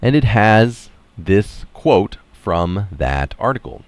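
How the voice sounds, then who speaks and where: A man's voice: fairly low pitch, sing-song, slow pace, a little mellow, dry recording. A man reading a written article aloud as narrator, breaking off for informal, explanatory asides.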